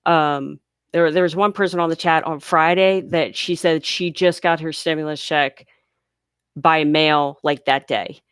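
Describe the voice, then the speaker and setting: A woman's voice: low-pitched, fairly even intonation, unhurried, smooth, dry recording, studio quality. Chatty, congested woman hosting a live Q&A. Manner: chatty, reads chat questions aloud and explains patiently. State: congested from sinuses. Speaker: livestream host answering viewer questions. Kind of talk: casual live Q&A. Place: home near a large US city.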